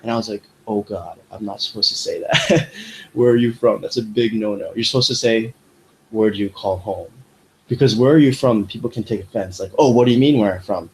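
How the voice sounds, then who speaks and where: Animated young man with low voice. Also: fairly quick, slight background noise, poor audio. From United States. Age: young adult. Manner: animated, rambling, many fillers. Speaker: podcast host. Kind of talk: casual chat.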